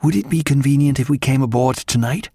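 A man voicing a learned, dry-humoured ship's surgeon and fond husband, with formal, measured period phrasing. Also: medium pitch, dark tone, breathy edge, no room sound, voice pushed up. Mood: polite, composed.

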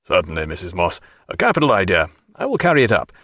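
A man voicing a brisk, hearty naval captain giving orders and coaxing a friend, in a storybook reading. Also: deep voice, wildly swooping pitch, a bit bright, breathy edge, no room sound, studio quality, voice pushed down, full character voice.

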